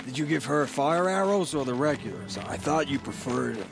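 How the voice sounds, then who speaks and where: Bickering young hunter from a game, creaky and animated, touchy, loud music under him. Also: male voice, mid-range voice, normal speed. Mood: sheepish, questioning. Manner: defensive, querulous. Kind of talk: video game voice acting.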